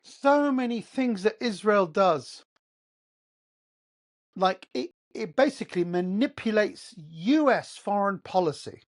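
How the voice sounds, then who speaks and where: A man with an English accent, hosting his own podcast as a solo monologue, talking emphatically and firing off rhetorical questions. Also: high voice. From born in Qatar, raised in England. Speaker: podcast host.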